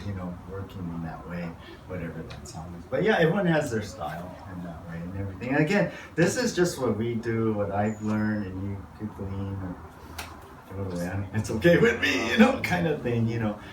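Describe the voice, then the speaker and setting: A man's voice: fairly low pitch, sing-song, normal speed. A man, a pastor, leading a casual workshop Q&A and rambling relaxedly with lots of "you know.". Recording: roomy, far from mic, noisy.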